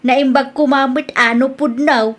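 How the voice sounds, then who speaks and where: A fretting older mother, acted by a woman, presses her son with pointed questions in an Ilocano audio drama. Her voice sits slightly high and her accent is Ilocano. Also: fairly even intonation, measured pace. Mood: concerned. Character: worried older mother of a widower.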